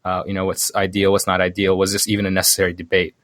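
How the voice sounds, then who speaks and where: A man from Sudan with a low-pitched voice talks as a guest in a casual interview. He explains in a halting way, thinking aloud and restarting his sentences. The sound is very dry, with no room around it. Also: completely flat delivery, a bit bright, no room sound. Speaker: podcast guest, programmer.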